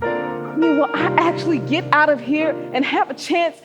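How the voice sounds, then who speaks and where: A woman's voice: high-pitched, moderate pace, muffled recording, music in the background, natural voice. A young woman acting in a stage play as a troubled, sincere and guarded teenage girl. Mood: despairing. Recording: echoey hall stage recording.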